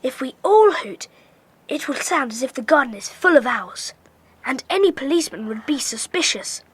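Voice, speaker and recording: Bossy high-voiced schoolboy leader. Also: female voice, very animated, husky, no echo, full character voice. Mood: hushed, commanding. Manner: brisk, commanding, earnest. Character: Peter, bossy schoolboy leader of child detectives. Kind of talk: children's audio drama acting.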